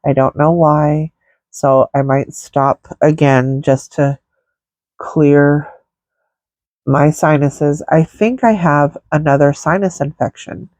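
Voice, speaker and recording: A woman's voice: low-pitched, steady tone, takes it slowly, darkish tone, no room sound. A woman with a stuffy, congested nose chatting casually through a makeup tutorial, rambling with many fillers. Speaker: makeup vlogger.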